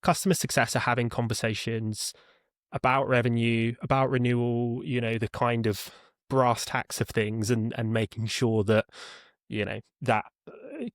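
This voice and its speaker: A man's voice: mid-range voice, level tone, no room sound. Man explaining conversationally in a dry recording. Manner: halting, with repeated words and self-corrections. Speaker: podcast guest, customer support leader.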